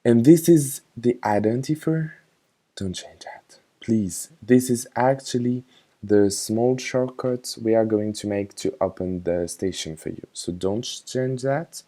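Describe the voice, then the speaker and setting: A man casually narrating a software tutorial, talking informally and a little hesitantly as he instructs. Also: mid-range voice, a little slow.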